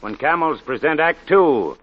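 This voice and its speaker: A man announcing and narrating a 1940s radio mystery in a measured, dramatic way. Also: a little high, expressive. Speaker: show announcer. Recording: dull lo-fi 1940s radio recording.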